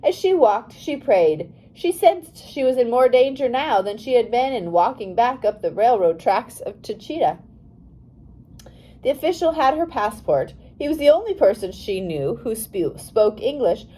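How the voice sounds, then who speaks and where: A woman reads a children's biography aloud in a fairly high yet mellow voice. Her delivery is careful and expressive, with plenty of rise and fall across each sentence. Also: unhurried, low-quality recording. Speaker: audiobook reader. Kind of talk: scripted narration of a biography for young readers.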